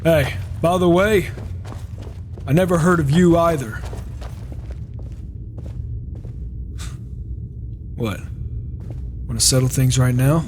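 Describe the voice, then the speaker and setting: A man's voice: fairly high pitch, a little slow, a little mellow, lightly acted. A man playing a proud, brash wandering warrior in a fantasy game roleplay, challenging and sardonic, on a noisy microphone. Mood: defiant. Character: proud, cocky, reclusive male wandering warrior. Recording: noisy microphone with rain and thunder.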